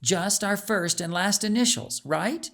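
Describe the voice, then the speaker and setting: Storybook role: earnest, vulnerable young girl played by a male reader. Higher pitch, expressive, slow delivery. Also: gravelly, exaggerated voice. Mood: eager, unsure.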